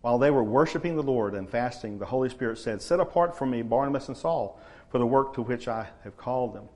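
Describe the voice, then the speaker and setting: A man, preaching a sermon to his church in a relaxed, conversational way. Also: medium pitch. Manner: conversational, unhurried, frequent uh fillers. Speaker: pastor.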